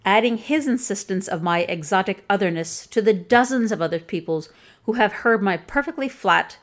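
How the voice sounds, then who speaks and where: A woman's voice: mid-range voice, expressive, normal speed. Wry woman with a Chicago accent. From Chicago, USA. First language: English. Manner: wry, pointed and dryly humorous. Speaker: video essayist. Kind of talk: scripted commentary.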